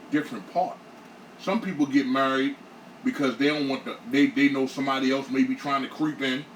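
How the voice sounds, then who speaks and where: A man in his late thirties talking casually on a panel, animated and repeating himself, acting out his own thoughts. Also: mid-range voice. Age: 30s. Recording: echoey room, far from mic, faint hiss.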